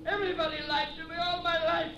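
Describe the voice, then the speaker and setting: A man's voice: very high voice, level tone, fast, creaky. A male actor playing a desperate, deluded and distrustful man in 1950s radio melodrama, pleading with strange insistence. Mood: anguished, accusing. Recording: muffled, echoing old radio recording with music.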